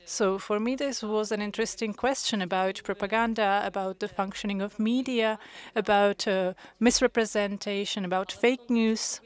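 A woman interpreting a panel discussion into English, measured and pausing with frequent hesitations. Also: medium pitch, steady tone, smooth. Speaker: conference interpreter.

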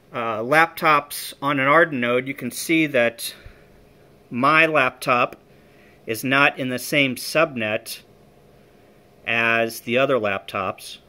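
Guy, crisp bright voice, casual gear walkthrough filmed handheld, bit hesitant. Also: medium pitch. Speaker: amateur radio hobbyist. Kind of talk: informal equipment walkthrough. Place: indoor room with equipment on folding tables. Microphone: handheld phone or camera he is holding.

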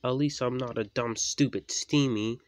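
A man's voice: mid-range voice, fairly even intonation, normal speed, pitched above the performer's own voice, natural voice. A man voicing a naughty male engine, teasing and blustering, in an amateur children's story. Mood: taunting.

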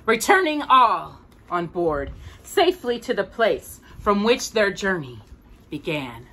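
A middle-aged woman reading a children's book aloud expressively, with deliberate, dramatic phrasing, as a storytime host. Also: medium pitch, very animated, sharp tone, a little hiss or hum. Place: small room at home with bookshelves.

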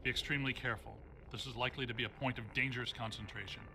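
Man acting a deadpan, bookish scientist ghost hunter. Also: mid-range voice, rapid-fire, vocal fry, background noise, close to an everyday voice. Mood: deadpan, wary. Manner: pedantic, deadpan, precise technical wording. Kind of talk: animated video game acting. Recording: loud music behind the voice.

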